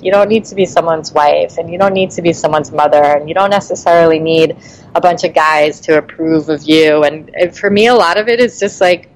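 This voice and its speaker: A woman's voice: low voice, a little mellow, slight background noise, rough recording. A woman in her forties, a podcast guest, speaking frankly and reflectively, hedging with "I mean" and "like". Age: 40s. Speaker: podcast guest, nonprofit founder. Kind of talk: casual interview chat.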